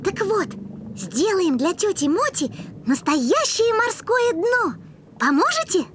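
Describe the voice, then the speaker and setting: A woman's voice: very high-pitched, sing-song, slow pace, a bit bright, a little hiss or hum, heavily acted. A woman voicing a sweet, polite little boy creature from the moon in a children's cartoon, innocent in manner. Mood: earnest.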